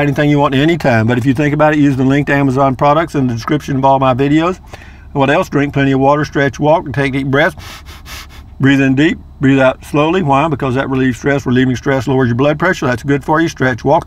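A man's voice: mid-range voice, fairly even intonation, fast. An older man, narrating a casual vlog from inside his car, chatty and rambling, repeating words playfully. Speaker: vlogger.

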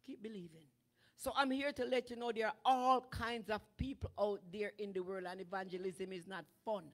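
A woman's voice: medium pitch, moderate pace. Woman preaching a fiery sermon. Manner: animated preaching that builds to shouting. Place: church sanctuary with draped stage. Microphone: handheld microphone.

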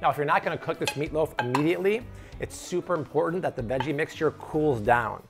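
Energetic man hosting a cooking show. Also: on the high side, fast talker, over music. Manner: energetic, playful, jokey asides. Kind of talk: upbeat cooking tutorial. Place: home kitchen, indoors.

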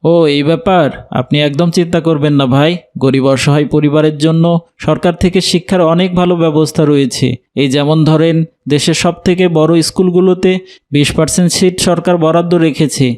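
A man as a higher-pitched, kindly office worker. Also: steady tone, a little fast, a little mellow, natural voice. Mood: reassuring, helpful. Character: kind, helpful educated office worker. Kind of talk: animated cartoon dialogue.

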